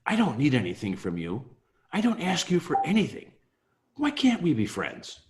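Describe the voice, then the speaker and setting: Guy acting an eager pleading nephew, voice goes all over the place, highish, very theatrical table read. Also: wildly swooping pitch, moderate pace, noisy background. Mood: earnest, pleading. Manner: emphatic, imploring, theatrical. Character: cheerful, earnest, pleading nephew of a miser. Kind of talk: amateur table read of a Victorian Christmas story.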